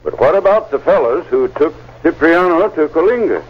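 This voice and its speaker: An actor playing a brisk, methodical small-town constable in an old-time radio drama, with an American accent. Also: male voice, a little high, lively intonation, breathy edge. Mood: intent, grim. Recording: dull, noisy old radio recording.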